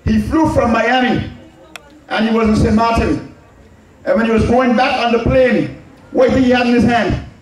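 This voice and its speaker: A man's voice: extremely high pitch, moderate pace, long pauses. Man, Anguillian accent, political candidate, emphatic campaign speech, very echoey, distant mic. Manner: emphatic, repeats key phrases for effect; asks rhetorical questions and answers them. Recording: very echoey, from far off.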